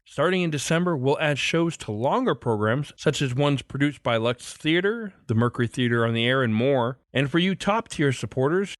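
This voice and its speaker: A man's voice: medium pitch, no room sound, studio quality. A man hosting a podcast, reading a scripted introduction. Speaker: podcast host.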